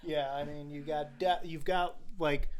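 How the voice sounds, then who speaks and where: A man's voice: fairly high pitch, steady tone, unhurried. A man hosting a podcast, casually discussing films in conversation. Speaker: podcast host.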